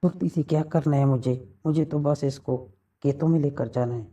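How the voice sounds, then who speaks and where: A man's voice: on the high side, fairly quick, dark tone. A man in a casual, intimate phone conversation, teasing and probing with questions, recorded muffled and distant.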